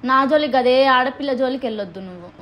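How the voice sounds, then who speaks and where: A woman's voice: high voice, fast talker, a bit bright, faint music behind. A young woman confronting someone on a phone call, pressing and reproachful in a noisy recording. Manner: insistent, pressing questions, reproachful. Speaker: caller confronting a male colleague.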